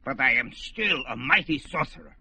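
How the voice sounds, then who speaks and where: A fretful old sorcerer. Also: male voice, mid-range voice, expressive, moderate pace, bright, forceful tone, a little hiss or hum. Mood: worried. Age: older. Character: aged male sorcerer, fretful, proud, feuding with his son. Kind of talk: old-time radio drama acting. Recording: old radio recording with music score.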